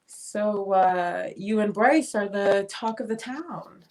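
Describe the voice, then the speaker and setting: A woman playing a chatty, affectionate and slightly vain older sister in a dramatic script reading, expressive and teasing. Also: medium pitch, slow. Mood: teasing, probing.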